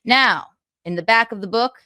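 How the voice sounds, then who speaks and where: A woman's voice: on the high side, normal speed, bright, forceful tone, dry recording, clean studio sound. A woman livestreaming, switching between casual chat and reading book passages aloud, with gloating, sarcastic, theatrical emphasis. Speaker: streamer.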